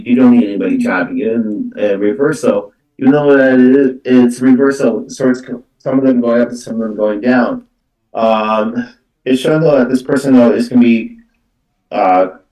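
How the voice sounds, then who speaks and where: A man hosting live card readings as a psychic medium, talking off the cuff in a halting, repetitive way with many filler words like "though" and "actually". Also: medium pitch, dark tone. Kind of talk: unscripted live-stream talk. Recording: roomy echo, distant from the mic.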